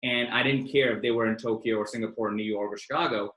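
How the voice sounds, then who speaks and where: A man's voice: medium pitch, fairly even intonation, quick pace, a bit bright, reverberant, poor audio. A man from Pakistan hosting a career webinar for students, talking informally and directly with plenty of fillers. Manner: informal and direct, lots of fillers, addresses listeners as "you guys". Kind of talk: casual, interactive online presentation.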